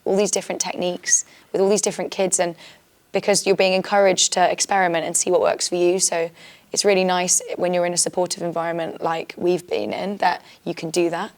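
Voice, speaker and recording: A woman's voice: lowish voice, little pitch movement, fairly quick, a little mellow. A young British woman, a teacher, talking casually and candidly about her experience with a chatty, self-deprecating manner. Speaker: trainee-turned-early-career history teacher. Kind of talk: casual reflective conversation.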